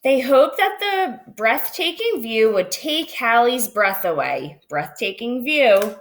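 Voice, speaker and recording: A woman reading a children's picture book aloud as a storyteller, with expressive, drawn-out dramatic phrasing. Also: on the high side, slight background noise, rough recording.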